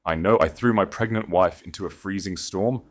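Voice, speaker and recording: A man's voice: sits low, moderate pace, natural voice. A man voicing a grief-stricken duke who begs in a choked, pleading voice.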